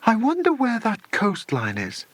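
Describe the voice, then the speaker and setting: A man's voice: sits high, wildly swooping pitch, unhurried, no room sound, pitched above the performer's own voice, heavily acted. A man voicing a keen, adventurous schoolboy in a children's audiobook. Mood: keen, curious.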